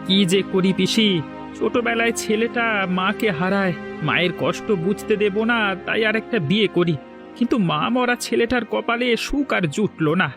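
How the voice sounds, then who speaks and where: Man acting a high-voiced, worried village father. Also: normal speed. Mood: worried resigned. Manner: plaintive, resigned. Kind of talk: melodramatic Bengali audio story acting. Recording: music under the voice.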